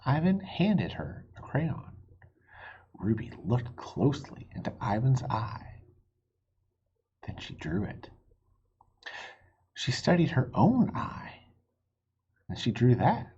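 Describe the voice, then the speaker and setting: A storybook reader giving an expressive read-aloud for children, explaining things simply. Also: mid-range voice, slow pace, pauses often, slightly rough, dull audio.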